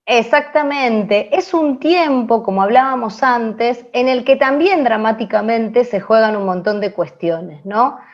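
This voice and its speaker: A high-voiced Argentine woman explains like a teacher, searching for words and checking in with her listeners. The recording sounds slightly dull and muffled. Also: unhurried, hardly any pauses. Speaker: radio drama teacher. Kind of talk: explanatory online class, spoken off the cuff.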